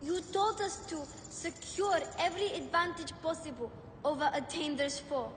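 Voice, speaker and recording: A woman's voice: lively intonation, normal speed, fairly bright tone. A woman playing an eager, cheeky young child in a video game. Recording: echoing space with rumble noise.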